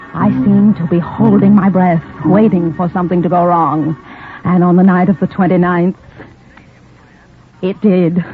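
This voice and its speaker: A woman's voice: lowish voice, natural voice. Guarded Parisian singer-spy played by a woman, tinny recording. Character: guarded, pleading Parisian nightclub singer turned double agent. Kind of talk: 1950s radio drama acting and narration. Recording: narrow, tinny 1950 radio recording, music behind.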